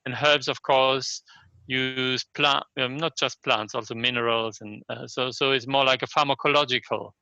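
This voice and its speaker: An older German-accented man gives thoughtful interview answers in a very bright, forceful voice. He pieces sentences together haltingly and keeps tagging them with a questioning yes. The sound is close and dry but somewhat lo-fi. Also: mid-range voice, no echo. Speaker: interview guest, Chinese medicine practitioner and scholar. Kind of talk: reflective conversational interview answers.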